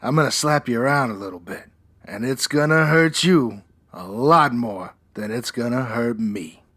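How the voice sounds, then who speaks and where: An actor playing a dim, menacing henchman thug with drawn-out tough talk in a comedic radio-style crime drama. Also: mid-range voice, fairly bright tone, no echo, lightly acted.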